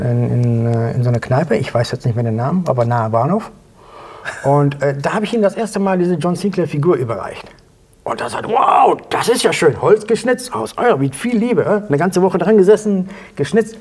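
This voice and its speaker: A man in his fifties telling anecdotes as an interview guest, excitable and acting out dialogue in short bursts. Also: on the high side, brisk. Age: 50s. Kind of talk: animated anecdotal storytelling. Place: small indoor studio set at a table.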